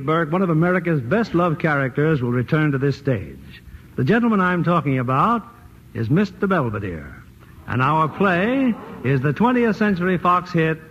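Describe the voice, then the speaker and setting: A man hosting a radio theater show, introducing guests and reading a sponsor message in a polished announcer manner. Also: fairly high pitch. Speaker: radio host. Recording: muffled old radio broadcast with faint hiss.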